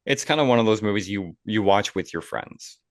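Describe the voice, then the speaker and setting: A man's voice: lowish voice, lively intonation, brisk, no room sound, studio quality. A man hosting a podcast, chatting casually about things in a conversational way. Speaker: podcast host. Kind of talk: casual movie chat.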